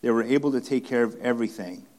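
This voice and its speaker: A male pastor teaching through a passage in a sermon. His voice sits at an ordinary middle pitch, and he talks haltingly, restarting phrases and repeating key lines for emphasis. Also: a little mellow.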